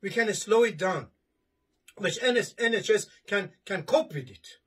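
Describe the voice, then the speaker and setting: Husky high-voiced man preaching a sermon. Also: animated, unhurried, a bit bright. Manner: earnest, repetitive, with many restarts, gesturing while reading from papers. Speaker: imam preaching a Friday sermon. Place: indoor study lined with bookshelves. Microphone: camera in front at a few steps.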